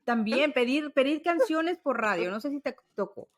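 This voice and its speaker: A woman's voice: sits high, lively intonation, moderate pace. A woman co-hosting a casual nostalgic chat, speaking expressively and emphatically and voicing bits of quoted dialogue. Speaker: podcast co-host.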